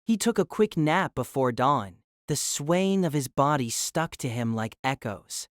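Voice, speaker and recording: A woman's voice: sits low, lively intonation, a little slow, no echo, studio quality. A woman narrating a character's inner thoughts in an audio drama, thoughtful and wondering.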